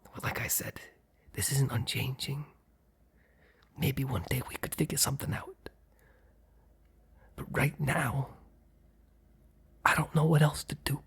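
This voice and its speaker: A man playing a guarded, earnest mob boss boyfriend in an intimate ASMR roleplay, speaking in a hesitant, breathy, husky whisper. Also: mid-range voice, steady tone, unhurried, long pauses, a bit bright, exaggerated voice. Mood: resigned, earnest. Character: guarded, apologetic young male mob boss, boyfriend confessing.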